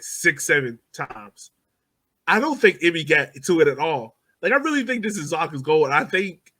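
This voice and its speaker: A man's voice: on the high side, bright, forceful tone. A man casually debating soccer on a podcast, insistently explaining his view step by step. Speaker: podcast host and soccer supporter.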